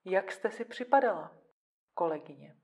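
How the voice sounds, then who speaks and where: An actress playing a controlling psychiatrist in an audio drama, speaking in a measured, formal and condescending manner. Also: female voice, mid-range voice, expressive, natural voice. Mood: clinical, formal. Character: controlling, clinical female psychiatrist, patronizingly calm.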